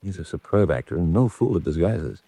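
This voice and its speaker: A man's voice: sits low, sing-song, fairly quick, dark tone, breathy edge, no echo, exaggerated voice. A man playing a determined, self-assured amateur spy hunter in a radio drama, with a dry manner. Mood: confident.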